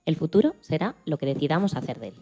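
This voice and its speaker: A woman presenter reads scripted narration for a radio programme. Her voice sits low and has a soft, dark tone, with light music playing behind her. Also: very animated, fast talker, husky. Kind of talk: scripted narration, reading aloud.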